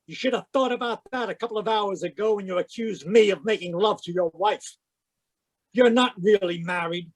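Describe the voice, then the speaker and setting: A man's voice: high-pitched, moderate pace, no echo. An actor playing a dignified, indignant man past sixty in an old-time radio crime drama, with stiff, formal diction. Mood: stern, indignant. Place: performed from home on a video call.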